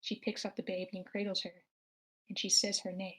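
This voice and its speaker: A woman's voice: medium pitch, flat delivery, fairly quick, a bit bright. A woman reading stage directions as the narrator of a screenplay table read. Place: online meeting, own room.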